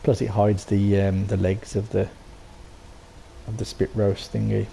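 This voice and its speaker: A man with a sore throat and a croaky voice, casually talking through how he built something, rambling with lots of ums and restarts. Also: on the low side, level tone, unhurried, warm tone, a little hiss or hum, rough recording. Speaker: game settlement builder. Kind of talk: casual voice-over walkthrough.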